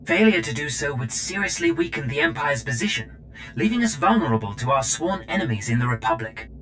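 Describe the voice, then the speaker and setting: Polite servant droid for a game, lowish voice, very formal and humble, noisy rumbly background. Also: male voice, sing-song, brisk. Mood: earnest, formal. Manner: deferential, formal, diplomatic. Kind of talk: scripted video game acting.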